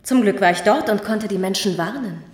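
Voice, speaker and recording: An actress playing a cold, ruthless Communist Party secretary in a German radio crime drama, speaking curtly and imperiously with icy sarcasm. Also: female voice, mid-range voice, a little fast, reverberant, natural voice. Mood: cool, accusing.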